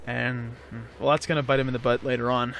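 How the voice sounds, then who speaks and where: A man's voice: mid-range voice, flat delivery, fast talker, creaky, fairly bright tone. A man giving casual, slangy, playfully sarcastic gameplay commentary. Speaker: let's play host playing the game. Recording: game music behind the voice. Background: video game music.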